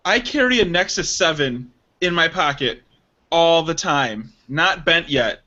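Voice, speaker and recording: A man on a loose podcast chat throws out teasing wisecracks. His voice is high and bright, with a sharp edge. The recording is dull and lo-fi. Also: lively intonation, unhurried. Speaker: podcast co-host. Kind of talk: casual joking chat.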